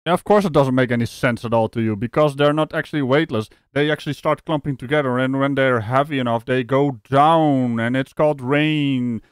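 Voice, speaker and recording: Male host from the Netherlands, mocking and lively, on a dry close mic. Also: mid-range voice, fairly quick, few pauses, clear, resonant tone, no echo. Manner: animated, mocking, laughs often. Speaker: streamer host. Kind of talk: live reaction commentary.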